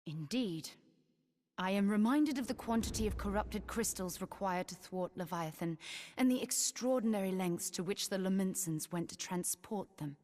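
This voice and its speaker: A woman's voice: mid-range voice, lively intonation, normal speed, darkish tone, natural voice. A learned, cautious sage voiced by a woman in a fantasy game. She speaks solemnly in formal, old-fashioned phrases, with a long reverb around her. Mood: grave. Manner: formal, archaic wording, solemn. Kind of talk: scripted fantasy game dialogue. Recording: reverberant hall sound.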